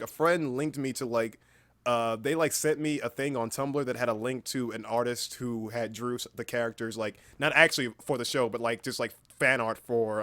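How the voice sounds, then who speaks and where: Animated young man chatting on stream. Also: medium pitch, dry recording. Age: early 20s. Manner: playful, exaggerated, self-mocking. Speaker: livestream host. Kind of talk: casual livestream chat, storytelling.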